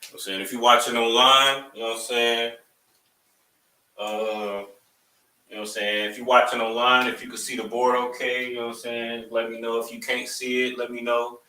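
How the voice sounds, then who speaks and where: A man's voice: mid-range voice, flat delivery, fairly quick, sharp tone. A man opening a class, loose and rambling, leaning on "you know what I'm saying", and rising to emphatic, preachy lines. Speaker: class instructor. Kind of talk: opening remarks to a live class. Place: classroom, also streamed online. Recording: echoey room, mic at a distance.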